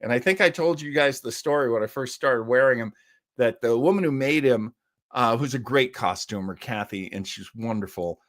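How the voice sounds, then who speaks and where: A man hosting a live show in casual chat, reading out and answering listener comments in a halting, rambling way with jokey asides. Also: mid-range voice, fairly bright tone, dry recording, studio quality.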